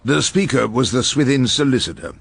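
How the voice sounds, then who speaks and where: An actor playing an irascible, witty older English barrister who narrates his case in a radio drama, wry and measured. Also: mid-range voice, vocal fry, husky. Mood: wry narration. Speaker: barrister and first-person narrator.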